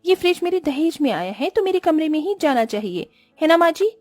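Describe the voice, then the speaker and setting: Woman doing a bratty rich young bride: high, loud, demanding and scornful. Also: big pitch swings, a little fast, no room sound. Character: spoiled, rich, rude young daughter-in-law.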